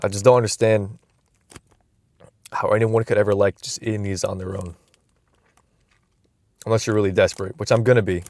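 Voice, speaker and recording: A man's voice: fairly low pitch, level tone, normal speed, pauses often, a little mellow, no room sound. A young man vlogging from inside a car, musing aloud in a loose, rambling way with frequent restarts, recorded on a phone camera. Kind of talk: casual rambling vlog.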